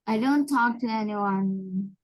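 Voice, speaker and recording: A young woman with a Vietnamese accent talks slowly and carefully in an English lesson. She stops often to hunt for words and starts her sentences over. Her pitch sits in the middle range. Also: low-quality recording. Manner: halting, searching for words, frequent restarts. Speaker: English learner. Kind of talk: online English lesson conversation.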